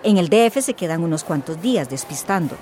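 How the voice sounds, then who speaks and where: A woman's voice: on the low side, animated, fairly quick, dark tone, slight background noise, natural voice. Actress as brash, swooping slangy smuggler woman. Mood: slick, businesslike. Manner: slangy, sprinkles English words into Spanish. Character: brash, businesslike female smuggler, slick and impatient. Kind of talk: radio drama acting.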